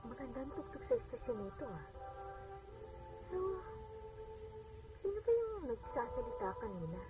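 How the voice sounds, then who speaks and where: An actress playing a devout, lively working mother and bossy older sister in a radio drama, speaking in an expressive, conversational way. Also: fairly high pitch, normal speed. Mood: chatty, curious. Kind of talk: Filipino radio drama acting. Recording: dull radio playback, distant, music behind.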